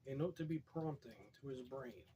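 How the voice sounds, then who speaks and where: A man with a hoarse, fading voice, reading poetry aloud and drifting into wry, rambling asides, keeping his voice soft and quiet. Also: medium pitch, moderate pace, a little hiss or hum, poor audio. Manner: quiet, soft-spoken, wry deadpan humor. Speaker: poetry reader.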